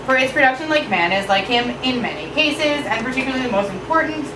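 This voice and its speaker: A woman poet giving an expressive live poetry reading at an evening event. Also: fast. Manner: expressive, shifting pitch and pace for effect. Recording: roomy echo from far off with noise.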